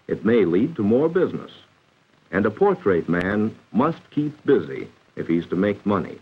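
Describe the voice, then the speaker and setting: A man's voice: medium pitch, breathy edge, muffled recording. An American man reading scripted 1940s educational film narration in a formal, instructive, carefully enunciated way, on an old film soundtrack. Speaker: narrator of a vocational training film.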